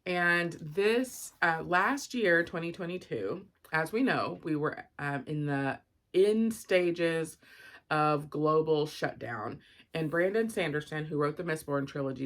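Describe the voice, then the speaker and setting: A woman's voice: deep voice, a bit bright. A woman giving an informal, rambling book review and unboxing, thinking aloud with frequent asides.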